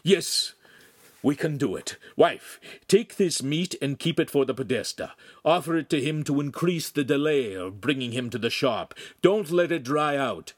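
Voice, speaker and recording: A man voicing a burly, hot-tempered master spectacle maker who barks and shouts. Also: on the high side, lively intonation, moderate pace, no echo, pitched above the performer's own voice. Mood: commanding. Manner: gruff, shouting.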